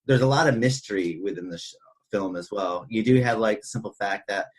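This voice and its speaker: Man, low pitch, casual podcast film talk, rambling, frequent "you know". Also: brisk, husky. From northern New York State, United States. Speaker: podcast co-host.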